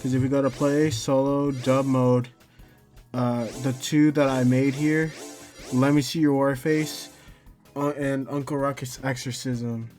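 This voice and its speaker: A man with a mid-pitched voice walks through a software tutorial in a casual, unscripted way. His delivery is hesitant and rambling, with frequent self-corrections. Music plays behind him. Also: flat delivery, a little slow. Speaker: tutorial host.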